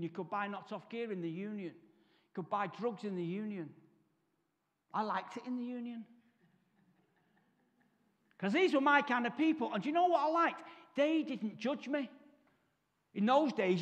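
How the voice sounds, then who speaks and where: A Mancunian man in his fifties tells his life story from a church stage, his voice pitched unusually high. He keeps the room laughing with comic asides and snaps into other people's voices. A long reverb from the hall trails behind his words. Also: moderate pace, pauses often. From Salford, Manchester. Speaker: guest speaker. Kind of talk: testimony talk at a church service.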